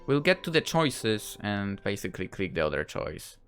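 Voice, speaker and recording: A man's voice: fairly low pitch, sing-song, moderate pace, fairly bright tone, soft background music. A man giving casual, playful, rambling playthrough commentary on a game. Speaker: gaming video creator playing his visual novel. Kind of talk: casual playthrough commentary, reading dialogue aloud.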